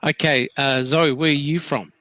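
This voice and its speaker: Bright-voiced Australian man hosting. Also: on the high side, fairly even intonation, moderate pace, no echo. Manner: leads with questions, many fillers. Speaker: podcast host. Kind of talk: casual group discussion.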